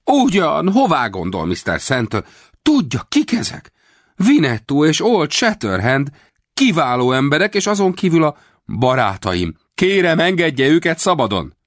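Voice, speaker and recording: Man doing a high, gushing fawning henchman. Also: very animated, no echo, studio quality. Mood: pleading. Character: fawning, feigning male henchman.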